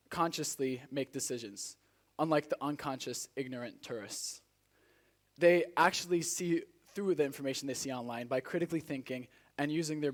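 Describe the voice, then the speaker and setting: A teenage man raised in Spain delivers a rehearsed speech to a live audience as a student contestant, with earnest, deliberate, rhetorical phrasing. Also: mid-range voice. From Spain, born in South Africa. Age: teenager, high school student.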